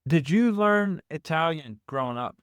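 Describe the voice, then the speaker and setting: A man's voice: a little high, animated, measured pace, clear, resonant tone, no echo, studio quality. A man interviewing a guest, asking brief and curious questions.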